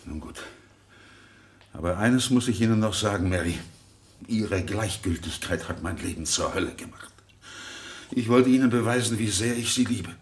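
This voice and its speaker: An actor playing an obsessive, lovesick murderer in a radio crime play, impassioned, bitter and pleading. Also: male voice, lowish voice, expressive, moderate pace, pauses often, lightly acted.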